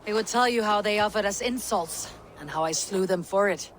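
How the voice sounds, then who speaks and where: A woman's voice: medium pitch, a little fast, close to an everyday voice, poor audio. Woman doing a tough viking warrior, stoic, blunt, game cutscene, bit of background noise. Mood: defiant. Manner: terse, formal saga-like speech. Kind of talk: dramatic video game cutscene acting.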